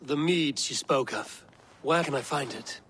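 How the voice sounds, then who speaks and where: An actor playing a weary, commanding Norse god in a video game, speaking in a measured, stern way. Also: male voice, medium pitch, fairly bright tone, slight background noise, lightly acted. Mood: guarded, firm. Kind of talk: video game cutscene dialogue.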